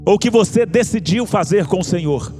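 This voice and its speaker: A man's voice: high voice, expressive, normal speed, a little mellow. A man with a Brazilian accent preaching an evangelical sermon, exhorting listeners with impassioned, repeated appeals. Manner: impassioned, exhorting, rhetorical repetition. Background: music under the preaching.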